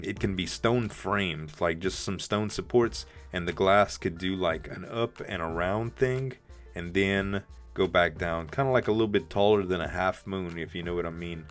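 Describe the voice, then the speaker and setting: A man with a deep voice, a bit rough from the morning, casually thinking aloud while building in a game he hosts. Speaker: let's play host. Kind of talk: casual gameplay commentary.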